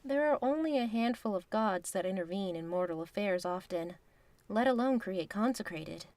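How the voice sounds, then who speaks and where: A courteous, knowing woman of divine nature voiced by an actress speaks at a middle pitch. Her phrasing is formal, measured and frank, recorded close and dry for an audio drama. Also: lively intonation, a little mellow, dry recording, close to an everyday voice. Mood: matter-of-fact.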